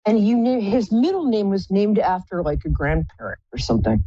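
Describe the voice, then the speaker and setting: A woman's voice: big pitch swings, brisk, no room sound, muffled recording. Effusive contralto woman psychic host. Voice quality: low contralto voice. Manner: chatty, effusive, calls callers pet names. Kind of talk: live call-in psychic reading.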